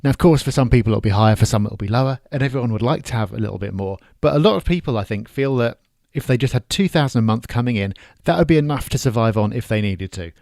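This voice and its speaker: Fast-talking male podcast host. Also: mid-range voice, animated, vocal fry, a little mellow, no echo, studio quality. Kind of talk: podcast commentary.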